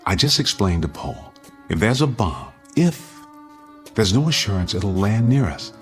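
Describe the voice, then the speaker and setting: Firm, low-voiced father under strain. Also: sing-song, a little slow, faint music behind. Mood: grave, earnest. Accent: American. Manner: firm, earnest, commanding. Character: anxious but steady father preparing family for nuclear attack. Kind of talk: dramatic radio acting.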